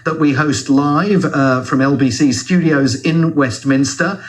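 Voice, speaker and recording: A man's voice: on the high side, a little slow. A man presenting a live radio phone-in, handing over to the news. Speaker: radio talk show presenter. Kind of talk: live phone-in link, reading contact numbers and time checks.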